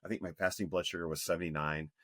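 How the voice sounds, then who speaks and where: Low-voiced guy in his 50s, earnest podcast guest, lots of restarts, dry sound. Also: steady tone, rapid-fire, fairly bright tone, dry recording. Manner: earnest, emphatic, with many restarts. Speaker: health coach guest. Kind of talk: podcast interview.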